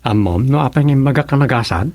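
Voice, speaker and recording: A man's voice: mid-range voice, expressive, fast, darkish tone, dry recording, lightly acted. A man with an Ilocano Filipino accent playing a gossipy village official in a radio comedy drama.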